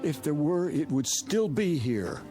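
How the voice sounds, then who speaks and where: Casting: defiant, wary reporter in classic radio drama; very animated intonation, faint score behind. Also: male voice, on the high side, unhurried. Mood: shocked, disbelieving. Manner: blunt, defiant, questioning. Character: agitated, suspicious male newspaper reporter stranded in a town. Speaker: radio drama lead actor. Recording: radio drama with music underneath.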